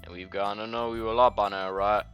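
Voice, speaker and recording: Brief: British guy as a warm-hearted, confident teen girl leader, low bright tone. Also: level tone, fast talker, soft background music, natural voice. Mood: reassuring. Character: confident, caring teenage girl club leader.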